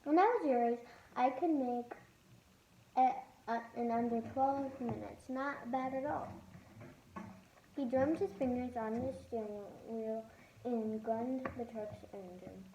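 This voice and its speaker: Young girl reading haltingly in an echoey room. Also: fairly high pitch, mellow, muffled recording. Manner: halting reading, stumbling over and repeating words. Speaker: host of a read-aloud channel. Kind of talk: reading a children's novel aloud with casual asides. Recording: roomy echo, faint background noise.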